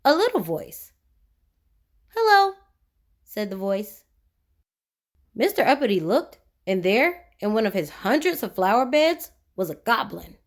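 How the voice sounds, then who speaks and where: A woman reading a children's story aloud expressively, with dramatic emphasis and drawn-out key words. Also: mid-range voice, big pitch swings.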